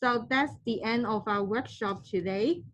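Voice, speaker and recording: A woman moderating an online question session in a polite, formal, halting way over a slightly dull call connection. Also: fairly high pitch, a bit bright.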